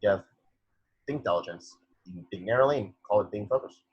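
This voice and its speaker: A man voices a droll, weary intellectual who lectures in halting phrases at a table read. His voice is lowish and very raspy, on a dull, dry recording. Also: expressive, no echo. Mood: dryly critical. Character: wounded, sardonic male intellectual, droll and weary. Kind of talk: amateur screenplay table read.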